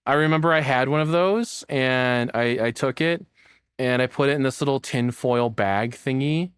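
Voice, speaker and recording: A man's voice: medium pitch, moderate pace, clear, resonant tone, dry recording, clean studio sound. A man giving casual, off-the-cuff reaction commentary as a streamer, rambling, joking and swearing as he goes.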